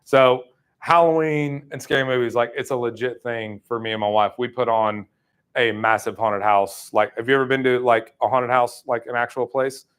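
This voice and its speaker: A man's voice: mid-range voice, steady tone, moderate pace, fairly bright tone, clear, resonant tone. A man in his thirties giving a live sales training talk in a room, casual and chatty with many fillers.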